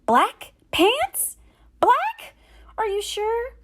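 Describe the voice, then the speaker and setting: A woman voicing an excitable, dramatic little boy in a picture book, easily distressed and given to gasping, wailing exaggeration. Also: very high-pitched, very slow, pitched above the performer's own voice, exaggerated voice. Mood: shocked.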